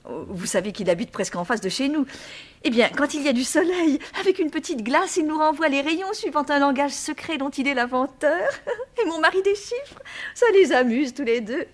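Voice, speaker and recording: An actress playing a chatty, naive and effusive bourgeois wife in a French radio mystery. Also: female voice, high voice, lively intonation, normal speed. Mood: gushing. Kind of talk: 1950s-style French radio mystery acting.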